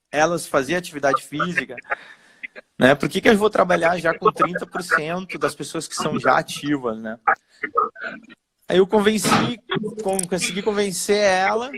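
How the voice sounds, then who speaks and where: A man's voice: fairly high pitch, poor audio. A man who works as a personal trainer, telling his story casually and acting out the dialogue. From Brazil. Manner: animated storyteller, acts out dialogue.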